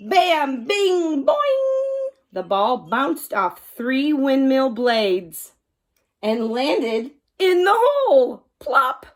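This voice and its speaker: A woman's voice: high-pitched, sing-song, very slow. A woman storyteller reading a children's picture book aloud expressively, acting out the sound words with drama.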